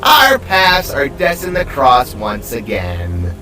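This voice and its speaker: An echoing, grandiose glitch overlord, taunting and theatrical. The pitch swings wildly while the words are stretched out slowly. Music plays behind this story villain. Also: male voice, huge pitch swings, pushed and bright, recorded from a distance, full character voice. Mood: mocking, confident. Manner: theatrical, mocking, drawn-out delivery. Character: gleeful megalomaniac male glitch being, grandiose taunting villain.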